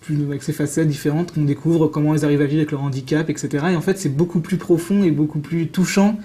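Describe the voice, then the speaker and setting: A Frenchman with a fairly high, mellow voice talks quickly as an interview guest. He explains things casually and keenly, wandering into side remarks as he goes. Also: steady tone. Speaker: guest presenting visual novels.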